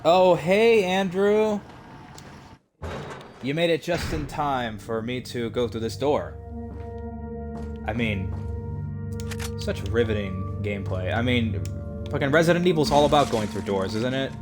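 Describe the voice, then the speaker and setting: Brief: male game streamer, mid pitch, swears and jokes, faint music under him. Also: a little slow, long pauses. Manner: profane, joking, rambling with restarts. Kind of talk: casual live-stream commentary.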